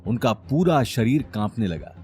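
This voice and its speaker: A man's voice: medium pitch, sing-song, a little slow, darkish tone, soft background music. A man narrating a scripted story in a dramatic style.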